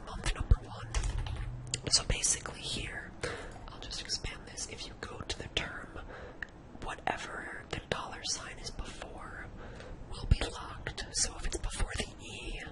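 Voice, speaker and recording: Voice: extremely high pitch, rough recording. Someone whispering an informal ASMR-style spreadsheet tutorial, thinking aloud while demonstrating each step.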